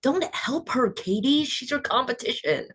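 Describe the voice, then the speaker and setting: A woman's voice: high voice, expressive, moderate pace, fairly bright tone, husky, heavily acted. A woman playing a combative, cutthroat young beauty queen in a screenplay table read, sarcastic and confrontational. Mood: hostile.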